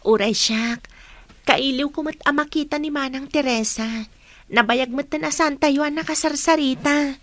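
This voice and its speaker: A woman's voice: high voice, normal speed, a bit bright, dry recording. A woman playing a dutiful, studious young college student daughter in an Ilocano radio drama, with a Filipino accent. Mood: friendly.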